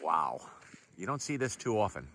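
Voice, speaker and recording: A man's voice: low-pitched, very animated, normal speed, a bit bright, a little hiss or hum. A man narrating a travel vlog outdoors by a lake, upbeat and enthusiastic as he walks and points things out. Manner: upbeat, enthusiastic touring commentary. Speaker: travel vlogger. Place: outdoors at a desert lake shore.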